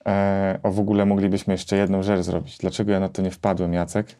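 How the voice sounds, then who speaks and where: A man in his thirties explaining a gear comparison conversationally, with hesitant pauses as he thinks. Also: deep voice, completely flat delivery, mellow. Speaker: gear reviewer and co-host. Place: small home music studio, indoors.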